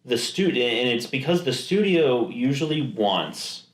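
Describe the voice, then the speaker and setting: A man co-hosting a casual podcast, talking at an ordinary pitch in a roomy, echoing space. He rambles, restarts his sentences and slips into quoted asides as he makes his points. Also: sing-song, unhurried. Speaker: podcast co-host. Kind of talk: casual chat. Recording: echoey room.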